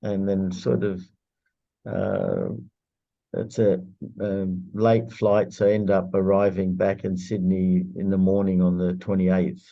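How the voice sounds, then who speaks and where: A man whose first language is Greek, talking in a casual group video call and pausing often with fillers. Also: sits low, vocal fry, lo-fi sound. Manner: halting, lots of filler pauses. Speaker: prayer group member. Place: indoor room shared with another participant.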